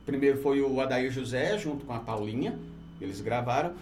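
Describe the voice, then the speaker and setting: A man's voice: medium pitch, lively intonation, brisk, darkish tone, soft background music. A Brazilian man speaking colloquially as a musician guest on a radio show, telling anecdotes in a casual, joking way.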